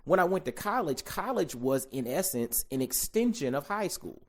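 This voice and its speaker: A man in his forties from Georgia, hosting a solo podcast in a reflective, conversational way. He repeats key phrases and slows down to stress them. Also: fairly high pitch, very animated. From Augusta, Georgia, US. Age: 40s. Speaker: podcast host.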